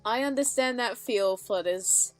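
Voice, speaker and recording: A woman's voice: on the high side, a little slow. A woman giving casual, off-the-cuff reaction commentary, musing aloud as she watches. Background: faint music from the video.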